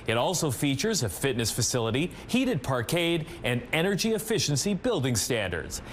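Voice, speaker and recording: A man's voice: mid-range voice, very animated, moderate pace, a little hiss or hum. A man with a Canadian accent reading scripted news as a television anchor. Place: TV news studio.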